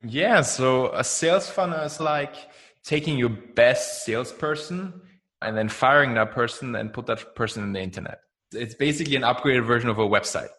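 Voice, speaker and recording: A young man from Austria whose first language is German, speaking as a podcast guest in a chatty, energetic way and often tagging "right?" onto his points. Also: mid-range voice, normal speed. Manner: energetic, chatty, frequent "right?" tags and laughs. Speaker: guest, marketing founder. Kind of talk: casual podcast interview.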